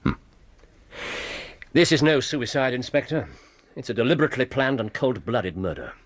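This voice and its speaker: An actor playing a brilliant, brisk, self-assured English private detective in a radio drama, speaking incisively and precisely with authority. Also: male voice, medium pitch, big pitch swings. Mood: musing. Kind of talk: BBC radio drama acting.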